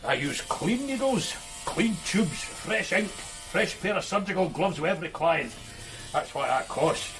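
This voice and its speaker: A blunt Glasgow tattoo artist. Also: male voice, high-pitched, animated, unhurried, few pauses, fairly bright tone, a little hiss or hum. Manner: blunt, matter-of-fact, impatient. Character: blunt male tattoo artist, proud of his craft. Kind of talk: radio drama acting.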